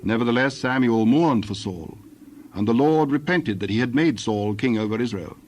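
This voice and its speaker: Male Bible narrator, mid-range voice, solemn and measured, faint background noise. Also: medium pitch, a little mellow. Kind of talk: scripted scripture narration.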